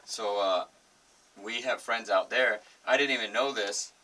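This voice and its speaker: A man with a lowish but bright, forceful voice, chatting as a film guest on a talk show. He talks loosely and laughs easily. He sounds a bit far from the mic, with faint hiss. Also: unhurried. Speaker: filmmaker guest. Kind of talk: casual interview chat. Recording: distant mic with faint noise.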